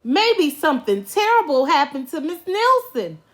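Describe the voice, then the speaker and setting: A woman acts out an eager, mischievous young schoolchild in a storybook. The voice is very high and leaps about as the excitable kid exclaims. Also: a little slow, full character voice. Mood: speculating. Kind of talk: children's storybook read-aloud.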